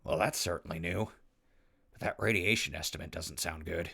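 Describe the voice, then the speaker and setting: A man's voice: deep voice, moderate pace, gravelly, sharp tone, breathy edge, full character voice. A man playing a wasteland traveler who narrates his crew's journey conversationally in an audio drama. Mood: curious. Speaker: narrator and crew leader.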